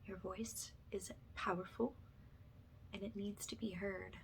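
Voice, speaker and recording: A woman speaking softly and breathily, often slipping into a whisper, gently reassuring in the style of a guided ASMR energy-healing session. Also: medium pitch, steady tone, gravelly, slight background noise, rough recording. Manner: gentle, slow, reassuring, affirming.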